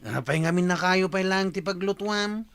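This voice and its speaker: An actor playing an impatient, cheeky young nephew in a Filipino radio drama. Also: male voice, high voice, moderate pace, fairly bright tone, clean tone.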